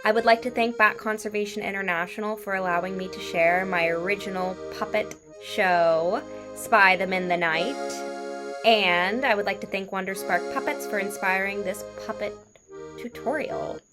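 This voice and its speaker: A woman hosting a puppet craft tutorial, talking in simple, deliberate phrases as if for a young audience. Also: medium pitch, music in the background. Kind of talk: instructional craft tutorial talk.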